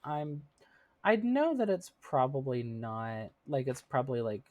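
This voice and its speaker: Casual gaming streamer, 30s, chatty, giggly, filler-heavy, mid pitch. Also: expressive, measured pace, a little mellow. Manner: chatty, laughs often, many fillers. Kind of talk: casual live-stream chat with viewers.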